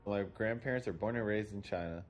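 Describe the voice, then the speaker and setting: A man's voice: fairly low pitch, fairly quick, slight background noise. A young man in his twenties, a basketball player, speaking plainly and reflectively in a documentary interview. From United States. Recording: music playing under the voice.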